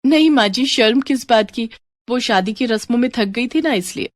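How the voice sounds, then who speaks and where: A woman's voice: a little high, moderate pace, no echo, natural voice. A woman voicing a sweet, eager young woman in a cartoon story. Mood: polite. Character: young Indian village woman craving mutton, sweet, eager.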